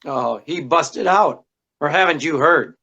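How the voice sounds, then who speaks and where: A man's voice: a little high, unhurried, vocal fry, bright, forceful tone, slightly rough, heavily acted. A man playing a tough, wisecracking private detective in 1940s radio style, brusque, slangy and commanding, on a dull recording. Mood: tough, pressing. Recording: dull, band-limited sound.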